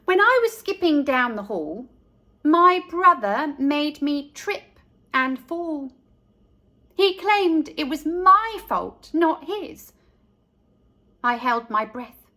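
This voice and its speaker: A woman narrating a picture book for young children in an expressive, deliberate storytelling manner. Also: high voice.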